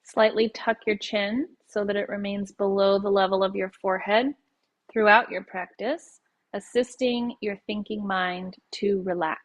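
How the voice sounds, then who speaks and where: A woman leading a guided yoga nidra meditation in a soothing, unhurried way, letting her phrases end softly. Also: mid-range voice, fairly even intonation.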